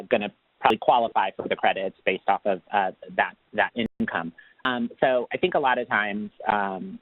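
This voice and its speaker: Low-voiced man chatting over a phone line. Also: dry recording. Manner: conversational, loosely rambling. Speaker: tax assistance program manager. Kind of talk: casual explanatory Q&A answer. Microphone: phone or web conference call. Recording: dry, narrow phone-line sound.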